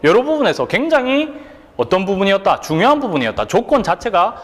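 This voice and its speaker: A man's voice: high voice, sing-song, fairly quick, long reverb tail. A man lecturing as an exam prep instructor, asking rhetorical questions and answering them himself, and often checking in with the listener. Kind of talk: online lecture.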